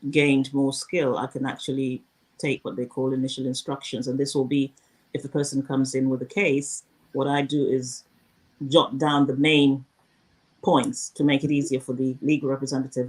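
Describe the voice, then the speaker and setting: A woman, a former news presenter talking through her career at a thoughtful, measured pace in a casual interview. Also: very deep voice, a little mellow, rough recording. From Zimbabwe.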